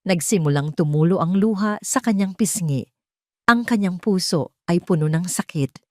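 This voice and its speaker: A woman's voice: lowish voice, lively intonation, normal speed, dry recording, studio quality. A woman narrating a scripted, melodramatic love story. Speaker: audio drama narrator.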